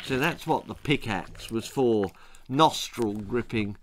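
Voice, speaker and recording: A man's voice: mid-range voice, slow, slight background noise. A man reading a scripted children's story as its narrator, in a theatrical, suspenseful and emphatic manner. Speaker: author reading his own children's story.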